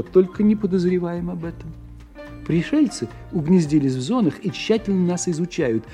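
Urbane, ironic scientist, male, mellow slightly husky voice, lively swooping pitch, radio drama with music. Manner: expressive, philosophizing, wryly courteous. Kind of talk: 1990 radio play acting.

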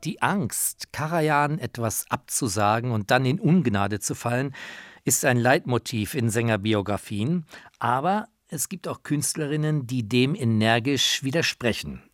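A man's voice: medium pitch, animated, a little slow, no room sound, clean studio sound. A man reading scripted narration for a radio feature as its narrator.

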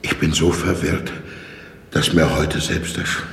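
A confused, weary radio play man speaks in an extremely deep, creaky voice. His slow words echo in a long reverb over a faint rumble. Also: flat delivery, a bit bright, heavily acted. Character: confused, weary man saying good night. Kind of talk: slow radio drama acting. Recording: echoey hall with faint rumbling noise.

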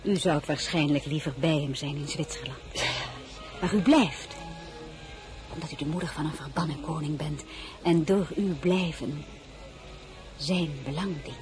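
A woman's voice: sits low, a little slow, pauses often, over music. A woman playing a proud, candid young royal woman in a radio drama, speaking formally and with restraint. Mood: guarded, resolute. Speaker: lead actress and first-person narrator. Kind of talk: radio drama acting and narration.

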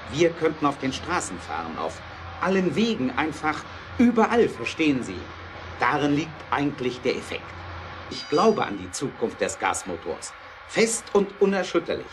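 Determined engineer, idealistic and emphatic, medium register, radio play with clear background noise. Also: male voice, medium pitch. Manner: earnest, emphatic, halting when explaining. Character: determined, idealistic male inventor and engineer, earnest and passionate. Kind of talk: German audio drama acting.

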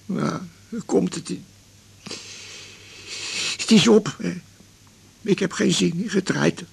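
An extremely creaky, husky man's voice, fairly high, a groggy, sick patient. He stammers and grumbles stubbornly, in the style of an eighties radio play. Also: slow, a little mellow, exaggerated voice. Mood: groggy, grumbling. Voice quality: very hoarse, gravelly. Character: slurring, stubborn, sick male patient after swallowing pills. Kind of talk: 1980s Dutch radio drama acting.

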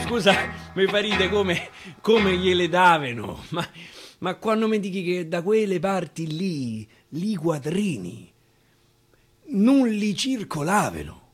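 A man with a Roman accent reciting dialect verse theatrically, swinging from loud declaiming to a hushed whisper. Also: sits high. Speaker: actor reciting dialect poetry. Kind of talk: theatrical poetry recitation for radio. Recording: live guitar accompaniment.

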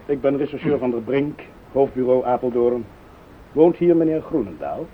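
An actor playing a courteous, official police detective in a radio play. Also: male voice, lively intonation, moderate pace, close to an everyday voice. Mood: formal. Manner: official, polite. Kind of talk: Dutch radio play acting. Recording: muffled phone-like old radio recording, rumbling hiss.

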